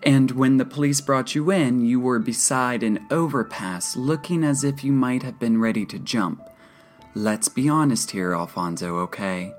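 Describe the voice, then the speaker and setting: An audiobook counselor voiced by a woman narrator, a patient and measured woman. She is calm yet firm and placating, lifted in pitch from the narration, over soft music.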